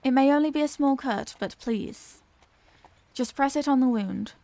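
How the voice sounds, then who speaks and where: A woman's voice: sits high, normal speed, dark tone. A woman playing a refined, courteous Victorian vampire lady in an audio roleplay, speaking in formal, old-fashioned phrases. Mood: alarmed, anxious. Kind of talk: ASMR audio roleplay, scripted character acting.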